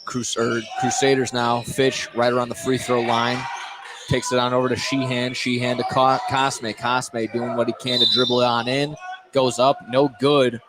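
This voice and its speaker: Male play-by-play announcer, mid-pitched, excitable, live basketball radio, noisy gymnasium ambience. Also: moderate pace. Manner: excitable, exclaims at big plays. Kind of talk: live radio sports commentary. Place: indoor high school gymnasium, courtside. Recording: noisy live gym sound. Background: loud gym ambience.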